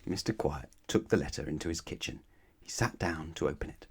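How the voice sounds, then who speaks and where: A man's voice: rumbling low, brisk, gravelly, slightly rough. A man narrating a children's bedtime story expressively, putting questions to his young listeners. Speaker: storybook reader.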